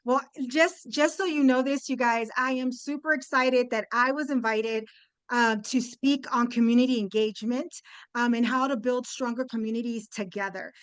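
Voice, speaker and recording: A woman's voice: on the high side, steady tone, normal speed, clear, resonant tone, no echo, rough recording. A woman in her fifties whose first language is Spanish, giving a chatty, upbeat webinar talk full of fillers and restarts. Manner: upbeat, chatty, lots of fillers and restarts, addresses "you guys". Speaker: guest speaker. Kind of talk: webinar presentation. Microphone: video call.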